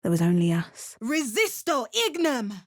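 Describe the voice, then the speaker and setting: An actress playing a wry, curious spellcasting adventurer in a fantasy video game, with a measured, storylike delivery. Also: female voice, a little high, very animated, dry recording, studio quality, heavily acted. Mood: commanding incantation. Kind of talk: video game character voice lines.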